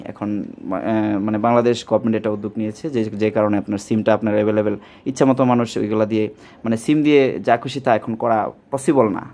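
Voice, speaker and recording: A man's voice: fairly low pitch, a little mellow. A man with a Bangladeshi accent giving an informal sales demonstration of a product, repeating himself and mixing in English words. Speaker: product presenter and seller. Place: indoors at a table. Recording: clearly audible background ambient noise.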